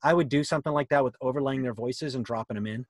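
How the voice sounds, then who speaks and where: A man's voice: mid-range voice, quick pace, dry recording, studio quality. A middle-aged American man answering questions in a conversational, explanatory way on a video call. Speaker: workshop presenter answering questions. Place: indoor room, on a video call.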